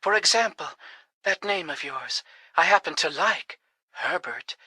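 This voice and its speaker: Vintage radio serial heroine: plucky, coy, flirtatious, sharp bright tone, dry studio sound. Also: female voice, on the low side, expressive, a little slow, husky, dry recording, exaggerated voice. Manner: coy, wry, theatrical. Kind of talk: 1940s radio adventure serial acting.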